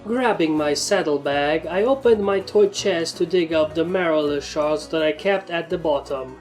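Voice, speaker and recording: A woman's voice: low-pitched, normal speed, a little mellow. Woman reading a story over music. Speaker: fan fiction narrator. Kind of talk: scripted first-person story narration.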